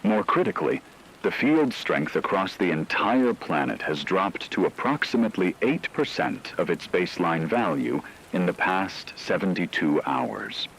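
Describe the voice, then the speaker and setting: A man playing a grave, formal radio newscaster delivering a measured, scripted emergency broadcast in a radio drama. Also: low voice, very animated, a bit bright, slight background noise, full character voice, low-quality recording. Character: grave male radio newscaster announcing planetary catastrophe. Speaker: broadcast announcer.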